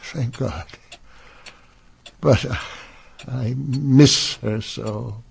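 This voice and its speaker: A man acting a weary, grieving Victorian councilman in a radio drama, formal and halting, with a husky, gravelly voice. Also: medium pitch, slight background noise, full character voice.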